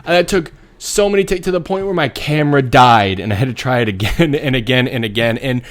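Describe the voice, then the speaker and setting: A man hosting a video channel, chatting casually to viewers in an animated, excitable way. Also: brisk. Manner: animated, excitable, much higher and louder than his acted voice. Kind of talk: casual vlog sign-off to viewers.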